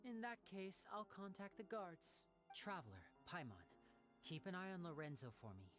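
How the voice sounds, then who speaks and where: A composed young male magician from a video game, voiced by a woman. The voice sits fairly low and stays measured. Each question is cool and pointed, with soft music underneath. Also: animated, lightly acted.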